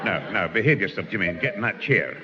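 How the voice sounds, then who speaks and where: A man's voice: on the low side, expressive, fast talker, noisy background. A man playing a stern, impatient grandfather in a 1960s radio comedy. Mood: scolding. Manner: scolding, impatient. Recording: dull old radio recording with reverb.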